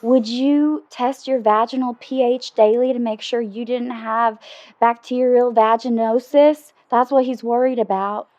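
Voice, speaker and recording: A woman's voice: fairly high pitch, steady tone, measured pace, darkish tone, dull audio, lightly acted. A woman playing a giddy, lovestruck caller to a comedy advice show, oversharing frankly in a giggly, confiding way. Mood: exasperated. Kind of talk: improvised comedy phone-in chat.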